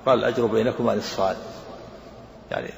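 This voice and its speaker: Gravelly-voiced sheikh explaining hadith. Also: male voice, fairly low pitch, steady tone, slight background noise. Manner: measured explanatory, repeats phrases. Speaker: sheikh teaching hadith commentary. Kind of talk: classical Arabic lesson explanation. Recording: echoey hall, faint ambience.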